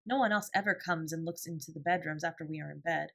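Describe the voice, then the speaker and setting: A woman's voice: low-pitched, quick pace, gravelly, a bit bright, full character voice. A woman voicing a naive, easily dejected schoolgirl in a storybook, simple and rueful in manner. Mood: confiding. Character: plump, dejected schoolgirl, slow learner, naive and admiring.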